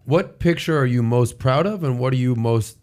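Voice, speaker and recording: A man's voice: medium pitch, normal speed, darkish tone. Inquisitive male podcast co-host. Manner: asks questions, brief interjections. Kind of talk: casual podcast chat.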